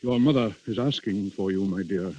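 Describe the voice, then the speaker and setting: A man's voice: fairly low pitch, normal speed, mellow, slightly rough. An actor playing a worried, fatherly duke, hesitant and respectful, in a 1930s radio drama. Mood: worried, deferential. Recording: muffled 1930s radio recording.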